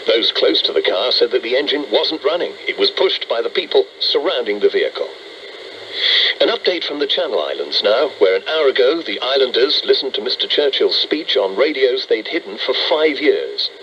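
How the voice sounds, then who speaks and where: A man's voice: medium pitch, big pitch swings, normal speed, muffled recording. A British man reading a scripted radio news bulletin in a 1940s style. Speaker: radio newsreader. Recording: noisy band-limited medium wave radio.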